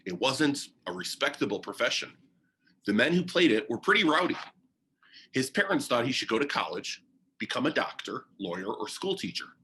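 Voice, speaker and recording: Expressive male storyteller with lively pitch. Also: a little hiss or hum. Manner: deliberate storytelling with short phrases and pauses. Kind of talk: read-aloud of a children's biography.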